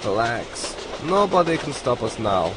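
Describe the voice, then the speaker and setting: Haughty German spy, noisy train. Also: male voice, mid-range voice, very animated, measured pace. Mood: smug. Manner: pompous, haughty, stiffly formal. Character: arrogant German spy posing as Englishman. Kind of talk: audio comedy drama acting. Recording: train ambience and noise behind.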